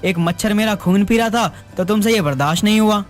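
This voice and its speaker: A man's voice: sits high, brisk, faint music behind, lightly acted. A man playing a husband in a moral story, emphatic and easily exasperated. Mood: angry, complaining. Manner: complaining, emphatic. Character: irritable husband, flirtatious but easily exasperated. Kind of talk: dramatised Hindi moral story.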